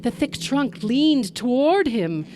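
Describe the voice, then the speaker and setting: A woman telling a story live, narrating in an expressive, measured way with dramatic delivery. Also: high-pitched, noisy background.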